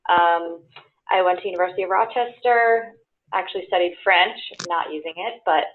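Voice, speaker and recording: A young woman from the United States introduces herself on a call, friendly and upbeat. Her voice sits low for a woman, and the line is tinny like a phone. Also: normal speed. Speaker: volunteer consultant.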